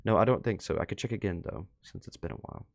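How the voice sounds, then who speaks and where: A man with a fairly low voice hosts a reaction video in a casual way. He thinks aloud and mutters asides, and the recording is close and dry, with very little room sound. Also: lively intonation, fast talker, vocal fry, no echo.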